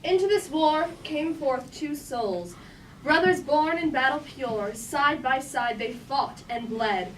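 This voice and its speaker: A woman's voice: high-pitched, lively intonation, slow. A woman playing a grave Greek chorus member, declaiming rhythmic verse, recorded from a distance. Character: grave female Greek tragedy chorus member recounting fallen brothers. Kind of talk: staged reading of verse tragedy. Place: indoor room at a live staged reading. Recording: distant mic in a noisy room.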